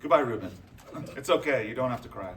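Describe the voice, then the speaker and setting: Man playing an animated, awkward outsider boyfriend. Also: mid-range voice, fast, a little hiss or hum, natural voice. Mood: dejected. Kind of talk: comedy table read in front of an audience. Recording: distant mics, audience in echoey room.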